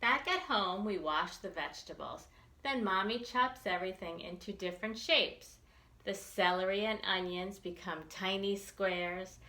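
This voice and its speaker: A woman reading a picture book aloud for young children, expressively and with deliberate enunciation. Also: mid-range voice, pushed and bright, echoey room. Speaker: children's librarian storytime reader.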